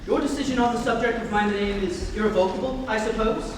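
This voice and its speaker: A young man playing an excitable, earnest Victorian gentleman in a theatrical reading of a stage comedy. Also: high voice, fast, close to an everyday voice. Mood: anxious. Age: young adult. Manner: theatrical, exclamatory. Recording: echoey hall from far off, noisy.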